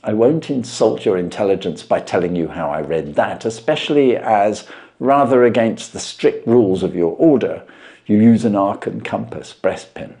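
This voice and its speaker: A man voicing a brilliant, self-assured gentleman detective from a storybook, precise, articulate and dryly amused. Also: lowish voice, lively intonation, moderate pace, voice pushed up. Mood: explaining. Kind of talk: storybook character voice.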